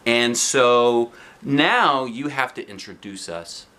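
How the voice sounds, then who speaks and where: A man voicing an eager, excitable little schoolgirl in a storybook, with a breathless, eager delivery. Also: medium pitch, slow.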